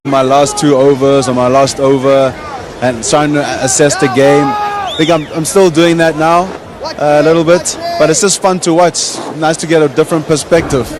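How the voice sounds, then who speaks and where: A man's voice: fairly high pitch, little pitch movement, crowd noise. A man, a cricket player, answering interview questions with frequent fillers and restarts. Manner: halting, filler-heavy answers. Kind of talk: casual interview. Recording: noisy outdoor broadcast.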